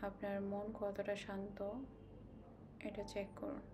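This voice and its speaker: A woman leads a guided meditation in a mid-pitched, very even voice. She gives calm, slow instructions and leaves long pauses between them. Clear ambient noise sits behind her voice.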